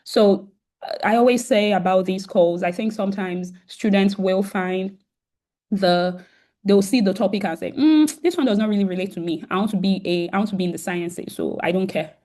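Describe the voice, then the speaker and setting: A woman's voice: medium pitch, moderate pace, mellow. A woman with a sore throat and an occasional cough hosts a virtual career event on a video call. She talks casually to students, with many "um" fillers and restarts.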